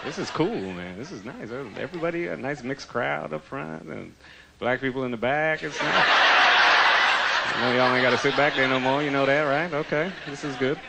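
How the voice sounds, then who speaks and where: A man in his thirties from Trinidad, raised in Canada, performing an animated, conversational stand-up comedy set on a handheld mic in a theater. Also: medium pitch, normal speed, creaky. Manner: animated, conversational, slangy delivery. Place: large theater stage before a live audience. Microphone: handheld stage mic. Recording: live theater audio with audience noise.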